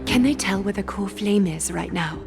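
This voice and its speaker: A woman playing a composed, dignified noblewoman leader in a video game, speaking in a measured, formal, authoritative way. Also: medium pitch, creaky, over music, lightly acted. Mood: grave, commanding. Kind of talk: dramatic video game voice acting.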